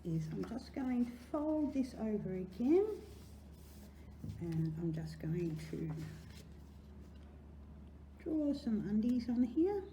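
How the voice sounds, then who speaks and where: An Australian woman guides children through a craft in a chatty, encouraging manner. Her pitch swings in a lively singsong, and her tone is soft-edged and mellow. The room is echoey, with faint noise behind her. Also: slow, long pauses. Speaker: library storytime presenter. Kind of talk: children's craft demonstration, talking through steps.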